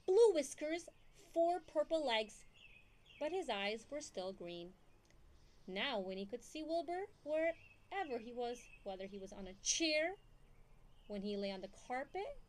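A young woman reading a picture book aloud to young children in an expressive, questioning storytime manner. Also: a little high, a little hiss or hum. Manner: expressive, asks listeners questions. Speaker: storytime reader.

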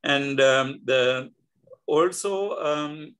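A man's voice: fairly high pitch, fairly bright tone, smooth. Older Sri Lankan man on a video call. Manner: halting, many fillers and restarts. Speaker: guest scholar. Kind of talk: reflective interview talk. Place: indoor home room.

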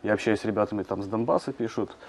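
A man with a lowish voice talks fast in a TV studio interview. His sentences run on and are broken up by repeated fillers and false starts. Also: darkish tone. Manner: quick, full of hesitations and fillers. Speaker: journalist guest.

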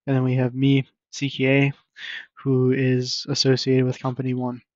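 Flat-toned man giving a software walkthrough. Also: mid-range voice, normal speed, dry recording. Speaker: software demo presenter. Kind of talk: casual screen-share software walkthrough. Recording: dull lo-fi microphone recording.

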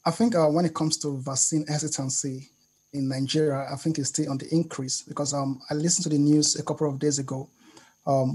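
A man, a research fellow, giving measured expert comments over a video call from a home office, with frequent pauses. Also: a little high, a little mellow, breathy edge. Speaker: research fellow, panel guest. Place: indoor home office with shelves. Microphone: video call webcam.

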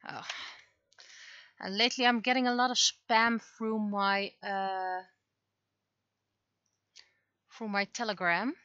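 A woman's voice: medium pitch, fairly even intonation, measured pace, sharp tone, clear, resonant tone, no echo, lo-fi sound. A woman chatting casually on a livestream while she works, rambling and interrupting herself in a scattered way. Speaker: livestreamer, webcomic artist. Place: dry indoor room, livestream setup.

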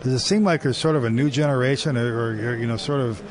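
A man's voice: medium pitch, fast. A man hosting an interview at a trade expo, asking rambling, joking questions. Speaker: interview host. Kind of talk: conversational tech interview. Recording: busy expo floor with crowd noise. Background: crowd chatter.

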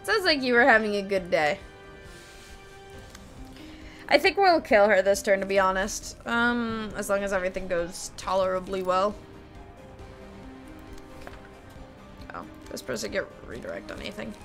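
Young female streamer, gleeful and sweary, lively pitch, faint music under her. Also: moderate pace, long pauses. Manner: gleeful, laughs often, swears casually. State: voice slightly strained. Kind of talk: casual gameplay commentary. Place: small room at a gaming desk. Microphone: desk mic, webcam at computer. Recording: game music faintly behind.

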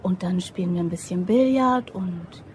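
Casting: female actor as wry, flirty office colleague, mellow tone, radio play with ambience behind her. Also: fairly low pitch, moderate pace, slight background noise, lightly acted. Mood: teasing, flirty. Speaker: actress in a crime audio drama. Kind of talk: German radio play dialogue.

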